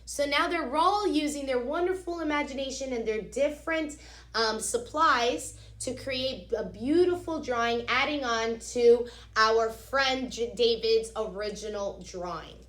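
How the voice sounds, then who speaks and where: A woman with a fairly high voice reads a children's story in a very echoey room. She sounds like a teacher, expressive and instructive, stepping out of the text to explain it directly to her young listeners. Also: a little slow.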